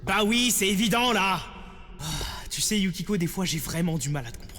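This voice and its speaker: Joking teen boy sidekick, man acting, anime dub: high, animated, complaining. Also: brisk. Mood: teasing. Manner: complaining, wisecracking. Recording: hall reverb, music behind.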